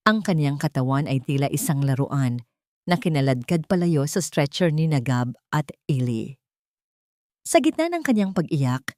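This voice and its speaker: A woman's voice: low voice, mellow, no echo, studio quality. A woman storyteller giving a scripted, dramatic audiobook narration.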